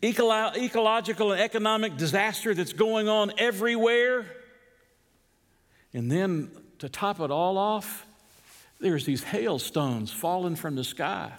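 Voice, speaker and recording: Southern preacher in a reverberant hall. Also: male voice. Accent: Southern US (Georgia). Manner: animated, rising to emphatic peaks, drops low and slow for scripture. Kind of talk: expository sermon.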